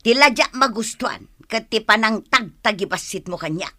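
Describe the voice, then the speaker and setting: A put-upon village wife in a radio drama, scolding and plaintive. Her voice is fairly high and very bright, with a northern Philippine accent. She delivers her lines emphatically, as someone who works hard and wants it recognized. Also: lively intonation, moderate pace. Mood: angry. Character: hardworking, aggrieved village wife selling snacks.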